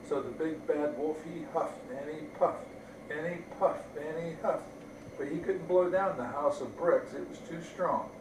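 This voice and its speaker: Older male, grandfatherly storybook reader, slightly high pitch, roomy sound, distant microphone. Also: a little slow. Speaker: grandfather reading bedtime stories. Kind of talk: storybook read-aloud to young children. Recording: roomy, distant mic, faint noise.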